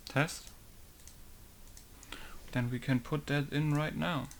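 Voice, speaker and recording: A man's voice: on the high side, steady tone, takes it slowly, vocal fry, a little hiss or hum. Man muttering through a modding tutorial. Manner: muttering to himself, thinking aloud. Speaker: game modder. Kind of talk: casual tutorial while working.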